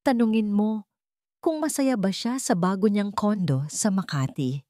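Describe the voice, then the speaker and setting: A woman voicing a betrayed wife in an audio drama story, composed and bitter, giving firm, deliberate instructions. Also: medium pitch, dark tone, no room sound, studio quality, lightly acted. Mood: cold, commanding.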